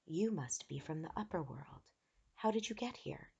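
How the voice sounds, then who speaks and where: A woman voicing a brave, secretive princess girl in a storybook, in a hushed, serious and urgent manner. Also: animated, measured pace, breathy edge, highs cut off. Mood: hushed, wary. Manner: hushed, low-key, serious delivery.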